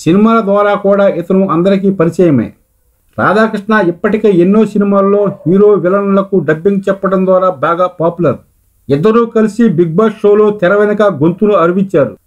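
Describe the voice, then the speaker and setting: High-pitched male entertainment news narrator. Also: brisk, darkish tone, lo-fi sound.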